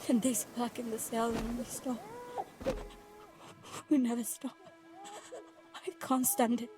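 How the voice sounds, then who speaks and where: A woman's voice: a little high, flat delivery, slow pace, over music. A woman playing an anxious, stubborn teenage daughter in an audio drama, speaking in a tense, intimate way. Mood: uneasy, hushed. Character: anxious, stubborn teenage daughter narrating life aboard a boat. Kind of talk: audio drama acting with first-person narration.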